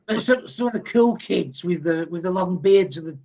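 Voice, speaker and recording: A man from England chatting wryly on a casual panel and pitching his own project. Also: expressive, moderate pace, darkish tone, muffled recording, slight background noise. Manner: wry, teasing, self-promoting. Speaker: panel host, comic artist.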